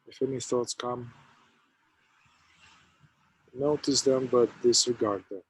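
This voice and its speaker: A man, a somatic therapist, leading a guided meditation, speaking slowly and softly in short phrases with long pauses. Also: mid-range voice, steady tone, husky, low-quality recording. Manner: slow, soft-spoken, with long pauses between phrases.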